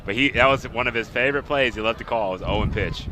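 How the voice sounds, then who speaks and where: A man giving casual, conversational football analysis as color commentator, often halting as he searches for words. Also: mid-range voice. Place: outdoors at a high school football game. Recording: noisy outdoor stadium broadcast.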